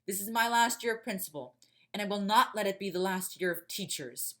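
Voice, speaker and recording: A woman's voice: medium pitch, fairly quick, sharp tone, lightly acted. A woman voicing a stern, cold male school principal near retirement in an audio drama, curt and officious. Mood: firm, curt.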